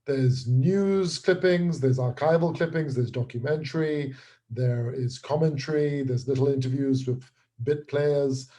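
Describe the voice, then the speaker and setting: A man's voice: mid-range voice, a little slow, dark tone. A man talking casually as an interview guest and creator, explaining things with repeated, restarted words.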